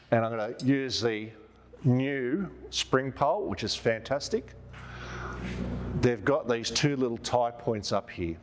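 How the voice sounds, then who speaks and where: A man in his fifties with an Australian accent, giving a casual, conversational product walkthrough as a presenter. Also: medium pitch. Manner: informal, conversational, checking in with "right?". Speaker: presenter of outdoor gear product videos. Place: large hard-walled showroom, indoors. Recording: echoey showroom, long reverb.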